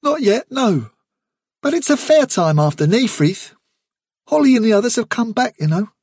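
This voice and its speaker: A man's voice: very high voice, very animated, husky, no echo, studio quality, voice pushed up, heavily acted. A man voicing a kind young male rabbit comforting a friend in a storybook reading. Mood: soothing. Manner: gentle, soothing, quick. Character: kindly young male rabbit, gentle and steady.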